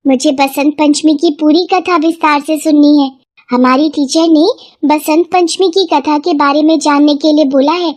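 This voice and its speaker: A woman's voice: sits high, steady tone, normal speed, a little mellow, close to an everyday voice. A woman voicing an eager, curious schoolchild speaking earnestly in a children's story. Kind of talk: children's moral story dialogue.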